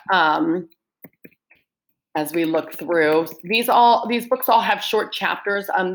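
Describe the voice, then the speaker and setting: Lively, expressive woman teacher. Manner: lively, expressive read-aloud with swooping pitch. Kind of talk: reading book summaries aloud to students.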